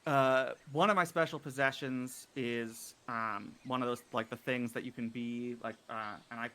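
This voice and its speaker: A man describing his character in a tabletop game session, halting with many fillers and restarts. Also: medium pitch, fairly even intonation, pushed and bright. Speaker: player.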